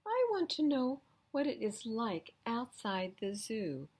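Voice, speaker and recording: A woman's voice: on the high side, big pitch swings, slow, darkish tone, breathy edge, pitched above the performer's own voice, exaggerated voice. A woman voicing a curious, polite young male seal from a picture book, speaking simply and earnestly. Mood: wistful, earnest. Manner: simple, earnest storybook speech.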